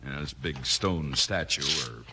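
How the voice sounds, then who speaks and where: An actor playing a vengeful, scheming outlaw in a 1950s radio Western, with menacing frontier talk. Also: male voice, very deep voice, animated, measured pace. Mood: gloating, scheming. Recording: noisy old radio recording.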